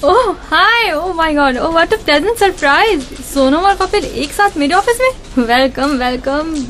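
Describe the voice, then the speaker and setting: A woman's voice: extremely high pitch, expressive, slow pace, a bit bright, a little hiss or hum, full character voice. A woman playing a chatty, gushing office worker in a radio drama, a little hesitant as she greets friends. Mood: delighted surprise. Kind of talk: radio drama dialogue in Hindi mixed with English.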